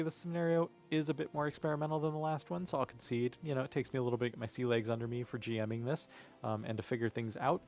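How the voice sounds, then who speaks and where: A man game mastering a tabletop role-playing game, explaining and narrating in a casual, informal way. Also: medium pitch.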